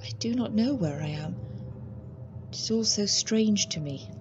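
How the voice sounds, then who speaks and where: A woman's voice: medium pitch, lively intonation, measured pace, muffled recording, background noise, lightly acted. A woman playing an anguished young wife under a vampire's hold, fervent and melodramatic in a radio drama. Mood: dazed.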